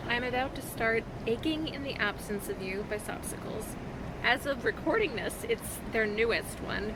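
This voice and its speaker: A woman talking casually in a reaction vlog, with a halting, expressive fangirl delivery and dramatic pauses. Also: mid-range voice, heavy background noise. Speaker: vlogger sharing reactions to fan fiction.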